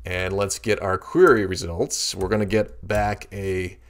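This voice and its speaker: A man teaching a coding tutorial, explaining each step in a steady walkthrough while he works at his computer. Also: sits low, unhurried. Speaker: programming tutorial instructor. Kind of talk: screen-recorded coding walkthrough.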